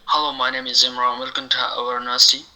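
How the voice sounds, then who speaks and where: A man with a very bright, sharp tone and a flat, level delivery. He repeats practice phrases back to his teacher one by one, careful and dutiful. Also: medium pitch, fast talker, rough recording. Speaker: student.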